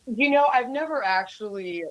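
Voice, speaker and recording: A woman singer with a deep voice for a woman, chatting casually as a guest and laughing often. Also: animated, normal speed, a bit bright, muffled recording. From United States. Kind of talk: casual interview chat.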